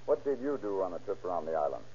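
An actor playing a brisk, formal prosecutor questioning witnesses in an old radio drama. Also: male voice, sits low, very animated, mellow, slightly rough, exaggerated voice. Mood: brisk, probing. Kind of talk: 1940s radio courtroom acting. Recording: muffled old radio recording.

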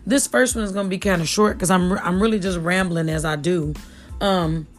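Raspy-voiced woman hosting a chatty podcast. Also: on the low side, fairly even intonation, a little fast, faint music behind. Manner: slangy, chatty, addresses listeners as y'all. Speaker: podcast host. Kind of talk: casual rambling podcast monologue. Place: at home.

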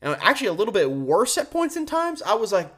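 A man's voice: high voice, wildly swooping pitch, fast talker, fairly bright tone. A man hosting a solo sports show, giving opinionated commentary with emphatic delivery and words stretched out for stress. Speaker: sports podcast host.

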